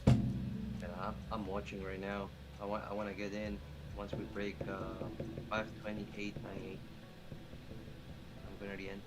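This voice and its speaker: A man's voice: monotone, normal speed, long pauses. A young man with a Greek accent talking in a trading voice chat, eager and stumbling over his words. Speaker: trader in voice chat. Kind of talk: casual chat. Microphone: voice chat mic. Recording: noisy rumbling voice chat line.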